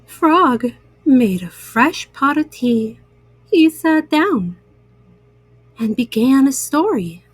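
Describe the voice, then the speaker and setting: A woman reading a storybook to young children in an expressive, soothing and reassuring manner. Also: high voice, slow, pauses often, husky, no room sound.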